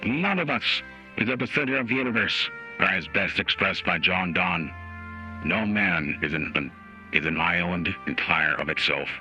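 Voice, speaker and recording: A man voicing a stern, formal judge in a measured dramatic reading, through a voice changer, with music behind. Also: sits low, animated, pushed and bright, lo-fi sound, voice pushed down, full character voice. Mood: solemn. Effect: voice changer app. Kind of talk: dramatic reading of a court opinion. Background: music added by the app.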